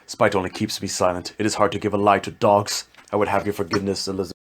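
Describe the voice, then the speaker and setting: A man acting a grave, anguished farmer who is torn by guilt, speaking in halting period drama. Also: on the low side, flat delivery, natural voice. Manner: grave, halting, self-accusing.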